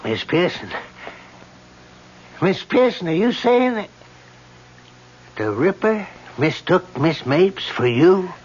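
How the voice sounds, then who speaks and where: A man's voice: high-pitched, big pitch swings, slow pace, pauses often, breathy edge, full character voice. An actor playing a flustered, simple handyman in a radio drama, with stammering, folksy speech. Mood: puzzled. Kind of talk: 1970s radio drama acting. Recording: muffled old radio broadcast, faint noise.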